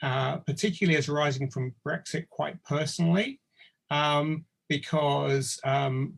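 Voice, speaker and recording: An older professor speaking on a panel, halting often with ums and uhs. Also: male voice, mid-range voice, gravelly. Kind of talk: panel discussion.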